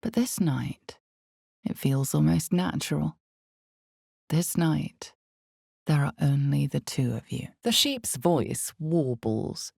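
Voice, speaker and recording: An actress playing the grave, ominous narrator of a dark fantasy video game, speaking in a measured, theatrical way with a deep, gravelly voice. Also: female voice, lively intonation, no echo, studio quality, exaggerated voice. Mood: grave, brooding. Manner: measured, deliberate, brooding, theatrical. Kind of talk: dramatic scripted second-person fantasy narration.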